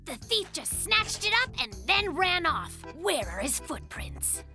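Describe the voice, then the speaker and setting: A woman voicing an excitable young boy bear in a cartoon, melodramatic and self-important like a detective. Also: extremely high pitch, unhurried, faint music behind, heavily acted. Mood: dramatic, suspenseful. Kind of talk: cartoon dubbing with mock-noir narration.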